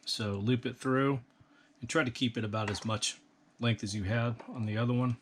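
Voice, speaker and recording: A man calmly explaining a hands-on build step by step, in a practical, methodical way. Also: mid-range voice, normal speed, slight background noise. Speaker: instructor. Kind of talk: step-by-step how-to instruction.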